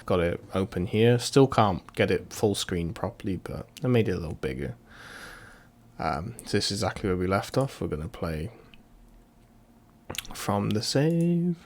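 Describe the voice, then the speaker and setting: A man's voice: on the low side, very animated, moderate pace. A man streaming a visual novel, reading its text aloud in a casual, stumbling way and commenting between lines. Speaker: let's play streamer. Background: loud game music.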